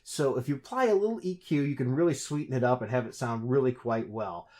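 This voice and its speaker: A man's voice: medium pitch, fast, warm tone. A man hosting a casual product review, talking chattily and often correcting himself mid-sentence. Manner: chatty, frequent self-corrections and restarts.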